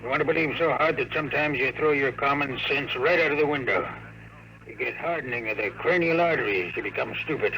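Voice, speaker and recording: Casting: ailing old American big-city boss, creaky hushed hoarseness, weary and grave, old radio with faint noise. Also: male voice, mid-range voice, a little fast. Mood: weary, regretful. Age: older. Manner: confiding, grave, throat-clearing. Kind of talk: 1950s radio drama acting. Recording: faintly noisy vintage radio recording.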